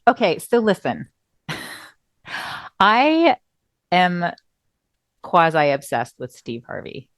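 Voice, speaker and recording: A woman's voice: on the low side, very slow, long pauses, no echo, studio quality. A middle-aged woman co-hosting a podcast, chatting casually and acting out quotes as she tells stories, her voice still recovering from a cough. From Southern California. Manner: expressive storyteller, acts out quotes. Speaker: podcast co-host. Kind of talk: casual chat.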